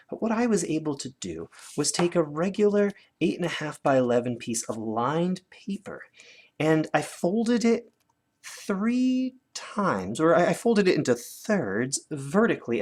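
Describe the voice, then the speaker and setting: Animated, husky North American male teacher. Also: a little high. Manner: animated, guiding with questions as if to children. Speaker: teacher presenting a lesson. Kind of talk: conversational instructional demonstration for children. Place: indoors at a desk, hands-on demonstration filmed from above.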